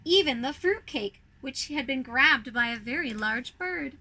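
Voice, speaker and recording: High, very bright woman telling a children's story. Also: unhurried. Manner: expressive, addresses young listeners directly with questions. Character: snow queen telling her own Christmas story. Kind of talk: animated storybook reading for children.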